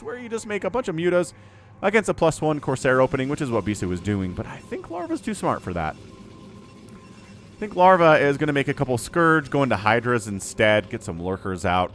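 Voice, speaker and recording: Excitable male game caster, slightly scratchy. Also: medium pitch. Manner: excitable, shouts and yelps at big plays. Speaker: StarCraft shoutcaster. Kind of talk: solo game commentary.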